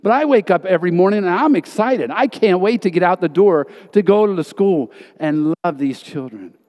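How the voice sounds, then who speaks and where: A man's voice: high-pitched, lively intonation, moderate pace, a little mellow. Earnest male preacher in a reverberant hall. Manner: earnest, emphatic storytelling. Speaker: missionary teacher. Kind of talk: sermon testimony.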